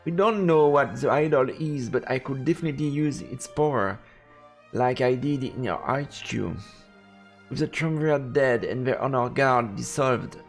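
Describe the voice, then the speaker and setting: Guy streaming a game, creaky voice, reads story text out loud, soft music behind. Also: mid-range voice. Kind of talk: live let's play, commentary and reading aloud.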